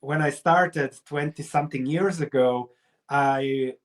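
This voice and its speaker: Higher-voiced middle-aged man, Croatian accent. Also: steady tone, slow pace. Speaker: investor and researcher, interview guest. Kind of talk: explanatory interview talk.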